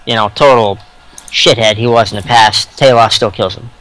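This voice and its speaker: A man co-hosting a casual fan discussion of sci-fi novels, speaking bluntly and haltingly with crude turns of phrase. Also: fairly low pitch, steady tone, fairly bright tone, low-quality recording. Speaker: podcast co-host.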